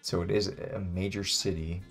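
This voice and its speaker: A man's voice: sits low, flat delivery, normal speed, faint music behind. A man in his twenties playing a game and thinking aloud in a hesitant, musing, casual way. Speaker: guest player, beginner. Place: small home room indoors.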